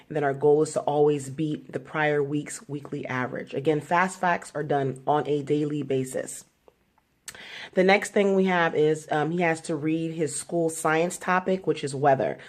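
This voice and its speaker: A woman with a notably low voice hosts her own home vlog. She lays out her son's homeschool plan calmly and step by step, often pausing on fillers as she thinks. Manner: unhurried, explaining step by step with frequent fillers. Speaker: homeschooling mother and channel host. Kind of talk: casual explanatory vlog.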